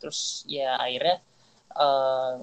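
A man's voice: medium pitch, flat delivery, slow pace, clear, resonant tone. A young man with a Jakarta Indonesian accent, chatting casually and slangily as a podcast co-host. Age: young adult.

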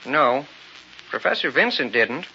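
Old radio drama, bit of hiss, worried folksy night clerk, kinda hesitant, bouncy tone. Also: male voice, mid-range voice, very animated, unhurried, pushed and bright, full character voice. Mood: concerned. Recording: muffled, hissy old radio broadcast.

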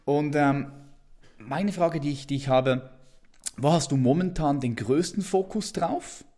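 A man's voice: a little high, measured pace, dark tone. Enthusiastic interviewer man, stumbling restarts. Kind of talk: casual interview.

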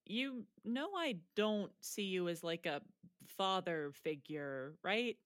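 Woman acting slow-spoken, frank teenage girl. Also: lowish voice, expressive, no echo, clean studio sound. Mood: frank, thoughtful. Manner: hesitant, frank, understated. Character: teenage girl student, frank, wry and supportive. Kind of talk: naturalistic audio drama acting.

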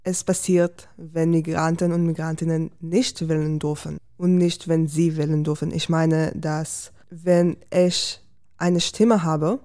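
A young woman speaks in a low, mellow voice. She picks her German words carefully and deliberately as a guest on a discussion panel. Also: little pitch movement. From Israel. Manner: careful, deliberate non-native phrasing. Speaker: panel guest, language student.

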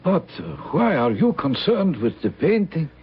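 A man playing an elderly, grave village priest in an old radio drama, husky and measured, gently cautioning. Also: fairly high pitch, big pitch swings, slight background noise. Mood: grave, inquiring. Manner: slow, measured, solemn. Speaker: actor. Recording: muffled old radio broadcast.

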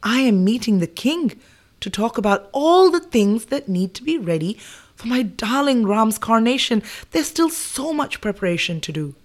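A woman's voice: a little high, sing-song, normal speed, pitched above the performer's own voice. A woman voicing a proud, kind queen who speaks firmly. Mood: puzzled. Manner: firm and indignant.

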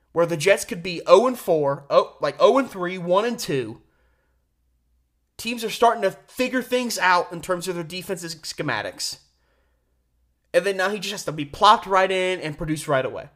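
A man hosting a sports radio show solo, giving opinionated, emphatic commentary with deliberate pauses and rhetorical questions. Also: sits high, a bit bright.

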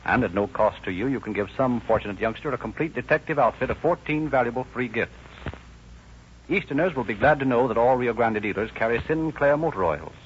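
Muffled vintage American radio announcer, male. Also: mid-range voice. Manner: formal, measured announcer delivery. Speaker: announcer and narrator. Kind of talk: 1930s radio narration and ad reading. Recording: muffled, noisy 1930s radio broadcast.

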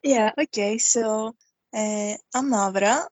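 Slow-spoken woman with a Greek accent. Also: fairly high pitch, a little mellow, dry recording. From Greece. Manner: thoughtful, unhurried, halting with fillers. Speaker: guest poet. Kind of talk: casual interview chat.

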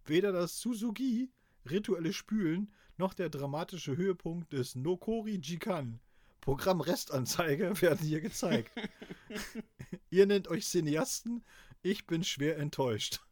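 Podcast co-host, middle-aged man from East Germany, dry deadpan reader, fairly high pitch, very dry sound. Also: measured pace, no echo. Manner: dry deadpan delivery, laughing at his own reading. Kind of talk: casual podcast chat and mock-serious reading aloud.

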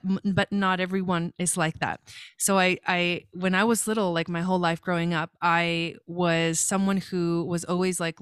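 A young woman speaks in a smooth, resonant voice that sits a little low. She tells her story casually and reflectively, wandering from thought to thought. The sound is very dry and close, with no room around it. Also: no room sound. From Los Angeles area, California. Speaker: guest.

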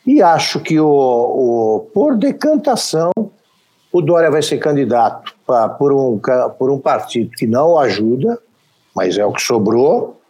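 A man's voice: mid-range voice, a little mellow, slightly rough. A man with a Brazilian accent hosting a political talk show, giving opinionated, wry commentary. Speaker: show host.

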